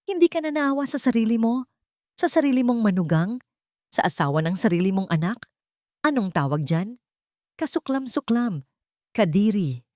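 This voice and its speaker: A woman's voice: on the high side, big pitch swings, moderate pace, pauses often, a little mellow, no echo, clean studio sound, pitched above the performer's own voice. A woman voicing a sanctimonious, enraged family matriarch in a story, scolding with cutting contempt. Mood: disgusted, scathing.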